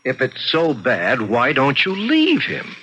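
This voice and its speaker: Radio drama role: questioning, lecturing psychiatrist, very expressive pitch, muffled old recording. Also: male voice, slow, breathy edge, full character voice. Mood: challenging. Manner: probing, lecturing. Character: probing male psychiatrist, challenging his troubled patient. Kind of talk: old-time radio mystery acting.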